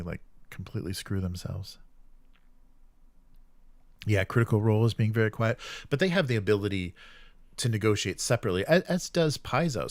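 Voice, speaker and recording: Low-voiced man chatting on a livestream. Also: dry recording. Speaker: live stream host. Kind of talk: casual live chat commentary, reading and reacting to comments.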